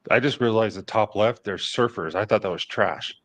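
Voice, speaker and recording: A man's voice: on the low side, moderate pace, a bit bright, dry recording. A man on a casual business call, chatty and joking.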